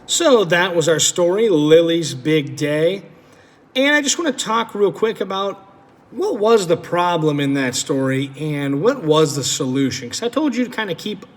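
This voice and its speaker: A man teacher reading a picture book aloud to children with animated storytelling, then asking them questions about it. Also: a little high. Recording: echoey room with long reverb.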